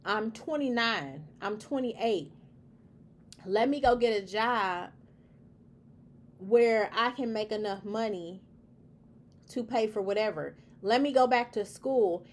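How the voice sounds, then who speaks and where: Emphatic woman lecturing, high voice. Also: takes it slowly, long pauses. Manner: emphatic, repetitive, preachy lecturing. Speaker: commentary host. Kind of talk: opinion monologue to camera.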